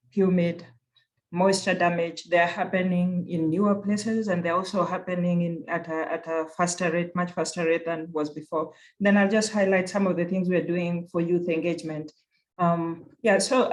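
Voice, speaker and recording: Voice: medium pitch, poor audio. A speaker from Kenya with a Kenyan accent, giving an earnest, reflective talk at a virtual conference as a university program director, often circling back to rephrase a point.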